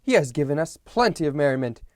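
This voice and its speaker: A man's voice: on the high side, sing-song, quick pace, a little mellow, no echo, clean studio sound. A man reading aloud and voicing a jovial young nephew who raises a toast in a hearty, raised voice. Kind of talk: audiobook character voice.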